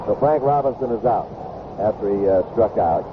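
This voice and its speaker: A man's voice: lowish voice, moderate pace, phone-quality sound. A man calling a baseball game live as a play-by-play announcer on an old radio broadcast. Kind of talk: live sports commentary. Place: ballpark broadcast booth. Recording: noisy band-limited vintage radio broadcast. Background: loud ambient ballpark noise.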